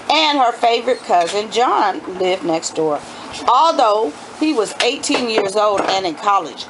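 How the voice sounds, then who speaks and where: A woman's voice: mid-range voice, background noise. A middle-aged woman, a homeschooling mother, reading a children's book aloud and talking it over with her young children. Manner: asks children questions, explains asides.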